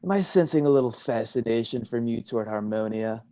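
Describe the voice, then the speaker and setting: A man's voice: mid-range voice, brisk, dark tone, close to an everyday voice. Man playing a breezy young surfer-dude sea god. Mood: playful. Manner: surfer slang, breezy teasing. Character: laid-back surfer-dude young sea god, teasing loyal brother. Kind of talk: live staged reading of a play.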